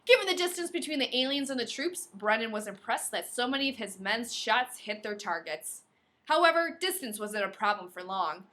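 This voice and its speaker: A woman's voice: on the high side, big pitch swings, normal speed, a bit bright. A woman co-hosting a podcast, reading a novel aloud with playful, exclamatory banter and theatrical delivery of the quoted dialogue. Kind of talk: book read-aloud with casual banter.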